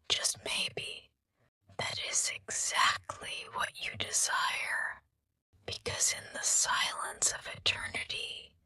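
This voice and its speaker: A woman whispers a gothic story slowly and softly, right up against a close microphone. Her whisper is husky and airy, with a gravelly edge, and the room sounds dry and still. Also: very deep voice, no echo. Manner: slow breathy whisper throughout. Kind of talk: whispered ASMR story narration.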